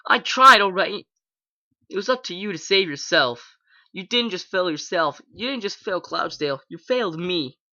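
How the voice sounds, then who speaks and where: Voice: mid-range voice, normal speed, a bit bright, muffled recording, lightly acted. A reader voicing a villainous adult mare pegasus who gloats and rages with contempt in a fan fiction reading. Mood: furious. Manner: gloating, then screaming with contempt.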